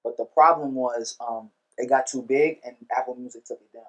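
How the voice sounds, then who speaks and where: A man talking as a musician guest on a podcast, telling stories in a relaxed, slangy way. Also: mid-range voice, brisk, poor audio. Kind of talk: casual podcast chat.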